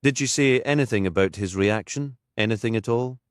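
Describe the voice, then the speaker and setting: A man's voice: medium pitch, a little fast, clean tone, dry recording, pitched above the performer's own voice, lightly acted. Guy doing a pushy detective asking direct questions, crime audiobook, dry sound. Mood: pressing. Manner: pressing, probing, direct. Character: persistent male detective questioning a witness. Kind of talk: audiobook character dialogue.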